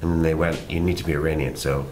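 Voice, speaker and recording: A middle-aged man from Canada, a guest actor talking in a relaxed, self-deprecating and rambling way in a casual interview. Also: a bass voice, fast, a little hiss or hum.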